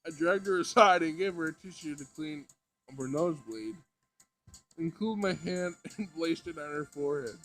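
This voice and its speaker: A man's voice: on the high side, animated, normal speed, a bit bright, low-quality recording. A man reading a visual novel aloud as narrator, sometimes stumbling over the words and rereading them. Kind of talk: dramatic read-aloud with character voices. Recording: music behind the voice. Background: music.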